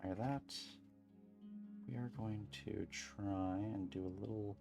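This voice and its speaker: A streamer chatting casually and calmly while beading at a home desk, rambling gently with many fillers. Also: deep voice, takes it slowly, creaky, lo-fi sound, music in the background. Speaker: crafting streamer.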